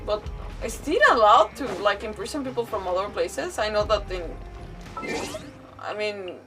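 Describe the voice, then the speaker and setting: A woman's voice: mid-range voice, animated, normal speed, far from the mic, rough recording. A woman game streamer giving casual live commentary, exclamatory and often stumbling as she reads aloud. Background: game music.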